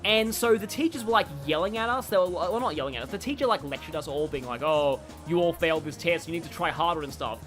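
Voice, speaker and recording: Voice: medium pitch, lively intonation, fast talker, few pauses, fairly bright tone. A game streamer giving casual, rambling livestream commentary, chatting and reacting aloud to the game. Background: game music.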